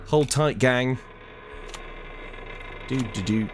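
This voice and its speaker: A man's voice: mid-range voice, drawn-out words, noisy background. A man streaming a mystery game, talking casually to his chat and joking at his own expense. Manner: chatty, talks to his chat, self-mocking. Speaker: let's play streamer. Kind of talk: casual gameplay commentary.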